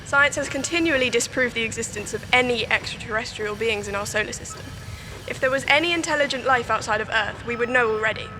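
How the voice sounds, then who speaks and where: Young woman playing a sure-of-herself scientist, kinda high voice, explaining stuff, noisy location. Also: quick pace, close to an everyday voice. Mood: dismissive. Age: young adult. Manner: authoritative, matter-of-fact. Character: confident, dismissive female scientist. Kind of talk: scripted news interview drama.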